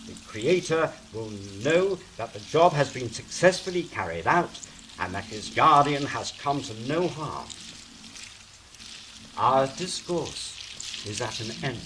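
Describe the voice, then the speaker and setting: A man's voice: mid-range voice, expressive, slow, a bit bright, low-quality recording. A man playing a scornful, commanding angel in an audio drama, declaiming sardonically over background hiss. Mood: brisk, grandiose. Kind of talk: stage-style audio drama acting.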